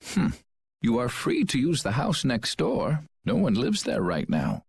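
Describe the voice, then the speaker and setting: An actor playing an eccentric village elder who keeps ancient lore, with a raspy voice, in a formal video game style. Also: mid-range voice, wildly swooping pitch, measured pace, darkish tone, exaggerated voice. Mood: solemn, measured.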